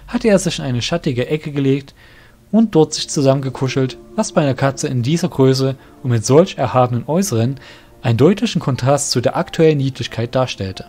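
Guy narrating a fantasy audio play, mid pitch, scripted, quiet music under it. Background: soft music.

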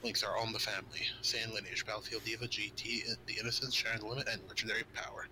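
A man's voice: on the low side, little pitch movement, quick pace, noisy background. A man co-hosting casual gaming news, chatting and reading out card stats. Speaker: co-host reading game card translations.

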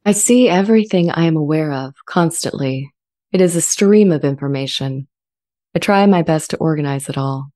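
A woman playing a gentle, thoughtful sentient AI in a dramatized reading, with a calm, measured and reflective delivery. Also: sits low, lightly acted. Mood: serene, thoughtful. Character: sentient female-voiced AI, gentle, serene and thoughtful. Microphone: large studio condenser mic up close.

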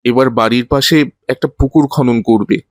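Guy doing a patient religious village dad in a cartoon, mid voice, dry recording, gently teachy. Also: mid-range voice, steady tone, normal speed, dry recording, lightly acted. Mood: calm, consoling. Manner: gently preachy, instructive. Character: patient, pious village father consoling his daughter. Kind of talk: children's moral cartoon dialogue.

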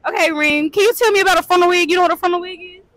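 A young woman from Texas interviewing strangers in a city street and store, upbeat and quick to repeat herself. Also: very high-pitched, a bit bright, no room sound, studio quality. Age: young adult. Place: outdoors in a busy city square and inside a store. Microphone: handheld phone mic.

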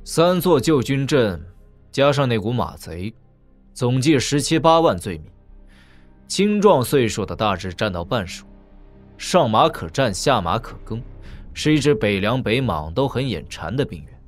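An actor playing a composed, calculating young lord in an audio drama, speaking with measured authority. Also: male voice, medium pitch, big pitch swings, faint music behind. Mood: calm, probing.

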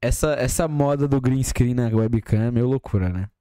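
A man's voice: mid-range voice, moderate pace, dark tone, smooth, no echo. A man casually commenting over a video on a live stream, joking and thanking viewers. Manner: casual, joking asides to chat.

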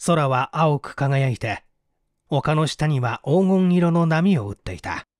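A storyteller reads a literary mystery at an unhurried pace. The delivery moves widely in pitch, and the sound is close and dry. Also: lively intonation, no echo. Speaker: audiobook reader. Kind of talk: scripted literary narration of a mystery novel.

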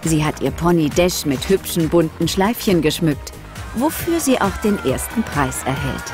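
A woman's voice: sits low, very animated, normal speed, darkish tone, soft background music. A woman narrating a scripted children's audio story.

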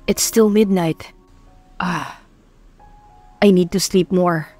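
A woman's voice: on the low side, fairly even intonation, very slow, mellow. Woman doing a moody filipino single dad in an audiobook, troubled and brooding, music behind. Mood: groggy, subdued. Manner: moody, mixes English and Tagalog. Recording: background music under voice.

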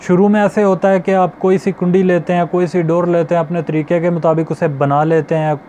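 A man's voice: on the high side, warm tone, a little hiss or hum. A young man hosting a fishing channel, explaining step by step to camera and repeating his points, recorded on a lapel mic in a small indoor studio. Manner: explanatory, repeats points, addresses viewers as friends. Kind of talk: instructional talk to camera.

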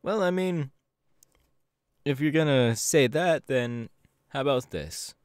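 A man's voice: mid-range voice, lively intonation, takes it slowly, no echo, studio quality. A man playing a friendly, bashful young hero with a hesitant, playful manner in an ASMR audio roleplay. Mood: sincere, bashful.

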